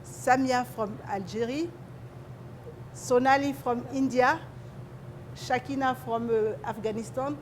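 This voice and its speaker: A middle-aged woman from Ivory Coast with an Ivorian French accent, telling her own story in halting, limited English as a native French speaker. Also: a little high, slight background noise. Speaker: documentary interviewee, newcomer immigrant. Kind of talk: personal account in an interview.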